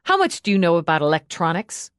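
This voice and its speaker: A woman's voice: fairly low pitch, big pitch swings, fairly quick, clear, resonant tone, no echo, studio quality. A woman voicing a hard, relentless female police lieutenant in an audiobook, pressing a suspect with confrontational questions. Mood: probing. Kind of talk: audiobook character dialogue.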